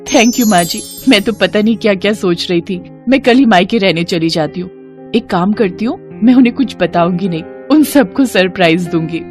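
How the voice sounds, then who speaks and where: A woman's voice: medium pitch, expressive, normal speed, soft background music, close to an everyday voice. A woman playing a hurt, puzzled young daughter-in-law in a cartoon family drama, earnest and questioning. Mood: relieved, hopeful.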